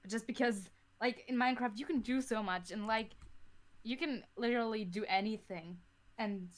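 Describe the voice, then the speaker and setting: A woman's voice: a little high, moderate pace. A young woman chatting casually as a podcast guest. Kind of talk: casual chat.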